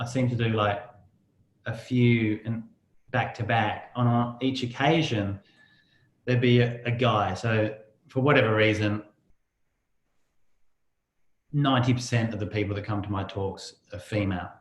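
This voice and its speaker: A man's voice: lowish voice, steady tone, normal speed. A man hosting a casual interview in a rambling, dryly joking way. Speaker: podcast host. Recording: roomy, echoey sound.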